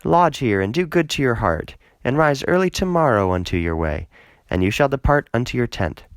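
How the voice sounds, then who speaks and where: A man's voice: mid-range voice, very animated, moderate pace, dry recording, pitched above the performer's own voice. A man acts out a hospitable father-in-law in a Bible story, insistent and coaxing. The voice lifts well above the reader's own, emphatic, in a dry recording. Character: hospitable, insistent father-in-law urging his guest to stay.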